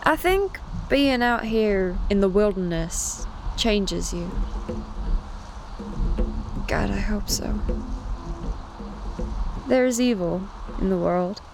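A woman's voice: medium pitch, lively intonation, slow, pauses often, over music, low-quality recording. A woman acting as an earnest, reflective soul searching in the wilderness, in a folk horror audio drama.

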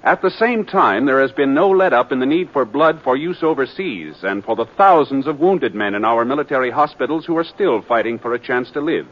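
An American man announcing on 1950s radio, reading a script with formal, earnest, measured enunciation. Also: medium pitch, lively intonation. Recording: dull vintage radio broadcast recording.

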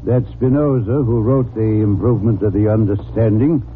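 An actor playing an irritable, erudite detective genius, pompous, precise and curt, in 1940s radio style. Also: male voice, lowish voice, normal speed, natural voice. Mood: stern, probing. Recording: tinny, noisy old radio recording.

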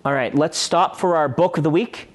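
A man's voice: fairly high pitch, normal speed. Casual man talking shop. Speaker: podcast co-host and writer. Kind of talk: casual critique discussion.

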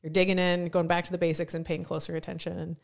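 A woman with a fairly low voice talks at a brisk clip, hosting a relaxed podcast conversation. She is chatty, laughs easily and fills her sentences with "like". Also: gravelly. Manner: chatty, laughs often, says "like" a lot. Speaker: podcast host and CrossFit coach. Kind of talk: casual interview chat.

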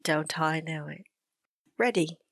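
A woman's voice: deep voice, slow pace, creaky, full character voice. An actress playing an affectionate female spy partner who teases playfully. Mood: teasing. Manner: playful, teasing.

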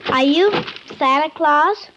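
A woman's voice: high-pitched, takes it slowly, dull audio, background noise. A woman playing a small, innocent, curious child in a 1950s radio drama, simple and guileless.

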